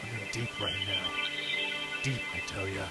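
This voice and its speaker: A man's voice: low voice, steady tone, moderate pace, over loud music, lightly acted. An actor playing a scheming, hard-boiled undercover detective with slangy, emphatic tough-guy delivery in an old-time radio drama. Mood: scheming, intense.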